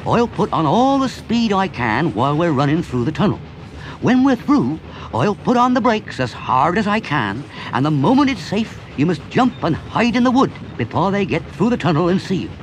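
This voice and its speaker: A man's voice: sits high, sing-song, normal speed, a little hiss or hum, poor audio. A man voicing a kindly but stern middle-aged engine driver, bluff and hearty. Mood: urgent.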